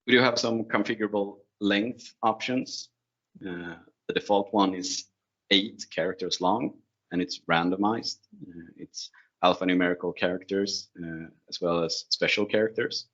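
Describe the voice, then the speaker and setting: Deep-voiced non-native English-speaking man, dull audio. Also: moderate pace, a bit bright. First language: not English. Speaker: presenter, IT security consultant. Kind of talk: informal technical presentation with slides. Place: online video call screen share. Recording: dull, lo-fi online meeting audio.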